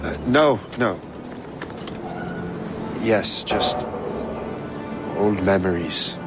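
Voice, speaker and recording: An actor playing an earnest young fighter in a video game, respectful and a little hesitant. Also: male voice, on the low side, lively intonation, extremely slow, over loud music. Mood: respectful, uneasy. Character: earnest, hesitant young male soldier of fortune. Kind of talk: video game cinematic acting. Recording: loud game score behind the voice.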